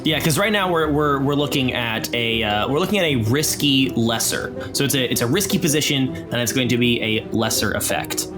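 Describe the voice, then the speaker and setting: A male game master with a medium-pitched voice talks players through a tabletop game. He is conversational and explanatory, and music plays clearly behind him. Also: fairly quick, hardly any pauses.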